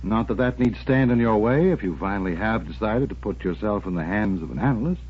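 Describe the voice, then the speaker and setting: An actor playing a calm, authoritative psychoanalyst who questions in a measured, professional way, in 1940s radio drama style. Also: male voice, lowish voice, slight background noise, natural voice. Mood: matter-of-fact. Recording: tinny, narrow old radio broadcast.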